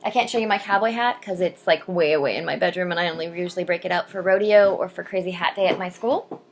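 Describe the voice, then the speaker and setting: A young adult woman chatting to camera in a casual vlog, rambling with frequent ums and asides, and reading poetry slowly and deliberately. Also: fairly low pitch, slight background noise. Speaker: vlogger answering viewer questions.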